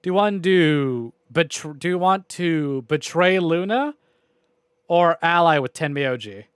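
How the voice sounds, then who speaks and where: A man's voice: fairly high pitch, lively intonation, a little slow, smooth, no room sound, studio quality. A man livestreaming games, talking to viewers in an informal, rambling way with some mild swearing. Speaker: video game livestreamer. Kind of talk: casual chatty stream sign-off to viewers.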